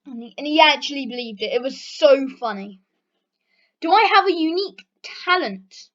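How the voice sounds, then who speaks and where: British teen girl, high-pitched, rambling casual vlog talk, muffled lo-fi recording. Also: expressive, normal speed, fairly bright tone. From Britain. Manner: chatty, rambling, lots of fillers. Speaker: channel host. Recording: dull lo-fi recording.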